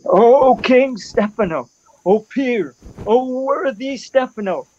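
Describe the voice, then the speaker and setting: Man playing a slow, silly flattering jester. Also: very high-pitched, lo-fi sound, heavily acted. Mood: gleeful, flattering. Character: silly, flattering drunken jester, greedy and whining. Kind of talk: Shakespearean radio play acting.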